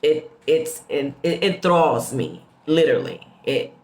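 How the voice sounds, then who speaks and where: A middle-aged American woman from the Midwest telling personal stories casually, with expressive emphasis and repeated phrases. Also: low voice, unhurried. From Midwestern United States. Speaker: host. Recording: roomy, echoing sound.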